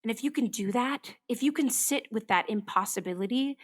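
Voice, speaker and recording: A woman's voice: fairly high pitch, a little fast, natural voice. A woman acting as an earnest, kindly television meteorologist in a naturalistic radio play, speaking articulately. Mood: earnest, solemn. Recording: faint background noise.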